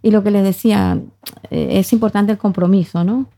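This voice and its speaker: A woman's voice: medium pitch, fairly even intonation, moderate pace, mellow. A woman chatting casually and conversationally in a radio studio. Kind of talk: casual chat.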